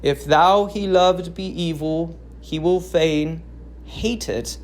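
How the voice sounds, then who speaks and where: A stern king of Greek tragedy, put on by a woman, low in pitch and gravelly. He declaims slowly and commandingly, a wronged husband full of wrath. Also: a little mellow, a little hiss or hum. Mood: grim judgment.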